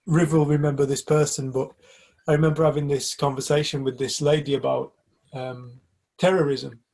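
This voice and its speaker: A man's voice: a little high, level tone, normal speed, gravelly, a little mellow. A man from the UK in a casual group discussion, talking reflectively and hesitantly, often restarting his sentences. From United Kingdom. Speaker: community group member.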